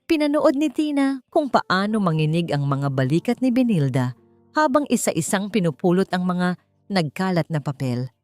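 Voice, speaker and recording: Expressive woman narrating a dramatic story. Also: lowish voice, normal speed, no room sound. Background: music.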